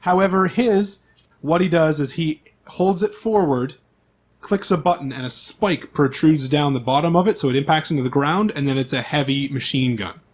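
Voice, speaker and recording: Guy game master, slightly high voice, going down a character list with casual asides. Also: normal speed. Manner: informal, list-like delivery with asides. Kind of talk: reading out character profiles for a tabletop game.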